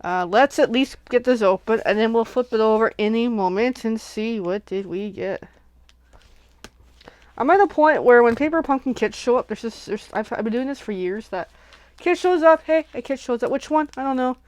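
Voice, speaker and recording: A woman's voice: on the high side, lively intonation, moderate pace, no room sound. A sniffly woman hosting a casual crafting livestream, chatting and thinking aloud as she unboxes and works at home.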